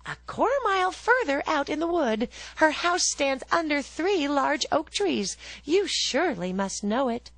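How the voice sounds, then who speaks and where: A woman voicing a cheerful, innocent young girl in a read-aloud fairy tale, with a bright, sing-song delivery. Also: sits high, very animated, unhurried, hardly any pauses, no echo, pitched above the performer's own voice, exaggerated voice. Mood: helpful.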